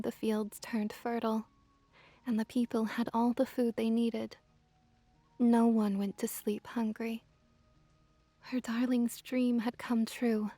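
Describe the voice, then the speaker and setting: A woman softly narrating a scripted ASMR story in a gentle, measured, breathy whisper. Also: on the high side, dry recording. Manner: soft, gentle, measured delivery.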